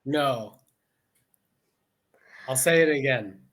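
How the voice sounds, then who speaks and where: A man's voice: mid-range voice, drawn-out words, a bit bright. A man chats as an online English tutor over a video call. He is patient and keeps his questions simple, often rewording them. He habitually says "okay" twice before moving on. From United States. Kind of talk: casual conversation lesson over video call.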